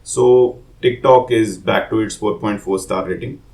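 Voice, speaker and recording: A man's voice: fairly low pitch, steady tone, unhurried, darkish tone. A man, hosting a daily tech news podcast with loose personal commentary and a voice dulled by a cold. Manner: loose and conversational, many uh and you know fillers. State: has a cold, voice not clear.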